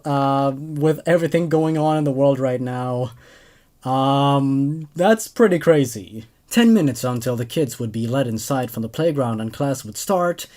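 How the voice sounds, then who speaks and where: A man in his twenties hosting and narrating horror stories in an expressive, animated way, recorded indoors at a desk microphone. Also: mid-range voice. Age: 20s. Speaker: host of a horror story reading show. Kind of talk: scripted horror narration with casual commentary. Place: small room at home, indoors. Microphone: large condenser desk microphone.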